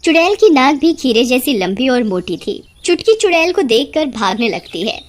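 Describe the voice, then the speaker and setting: Female storyteller, high-pitched, for scripted narration of an animated children's tale. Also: normal speed.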